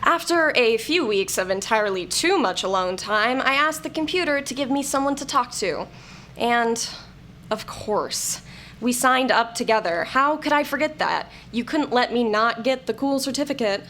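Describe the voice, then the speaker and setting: A woman's voice: fairly high pitch, animated, moderate pace, a bit bright, slight background noise. An actress playing a sardonic, exasperated woman in a digital afterlife, with a dry, naturalistic delivery in a scripted audio comedy. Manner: sarcastic, dry. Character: sardonic, exasperated dead woman, uneasy with old flame.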